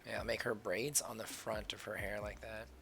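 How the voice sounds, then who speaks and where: Guy sketching on stream, mumbly and a bit hoarse, low but bright tone. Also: little pitch movement, normal speed, faint music behind. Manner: mumbled thinking aloud, trailing off. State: slightly hoarse, losing his voice. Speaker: artist live-streaming sketches. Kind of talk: casual talk while drawing.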